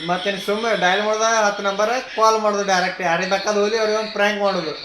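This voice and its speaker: High-voiced man with North Karnataka accent. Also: little pitch movement, very fast. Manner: informal, slangy, mixes in English words. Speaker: vlogger. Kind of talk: casual chat to viewers. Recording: roomy echo, faint background noise.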